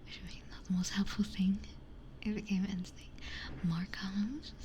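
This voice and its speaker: A woman whispering softly and unhurriedly in casual ASMR chat, musing in short phrases close to a binaural microphone. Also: medium pitch, little pitch movement, unhurried. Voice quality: breathy whisper. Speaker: ASMR livestreamer. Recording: close-miked with faint background noise.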